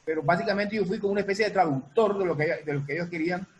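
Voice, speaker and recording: Noisy recording of a halting man. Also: high voice, muffled recording. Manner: halting, repeats and reformulates. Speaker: guest, music producer and sound designer. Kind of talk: casual explanation in an interview.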